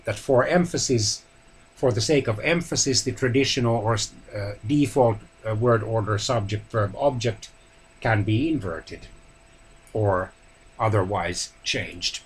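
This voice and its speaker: Measured man explaining languages. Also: fairly low pitch, rough recording. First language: Finnish. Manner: measured, hesitant with pauses, often clears throat. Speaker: language channel host. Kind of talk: educational talk on languages.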